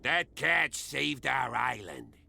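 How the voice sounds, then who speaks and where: An actor playing a proud, boastful island whaler in a video game, with a gravelly, sharp voice. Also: male voice, mid-range voice, lively intonation, slow, full character voice. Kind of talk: video game cutscene dialogue.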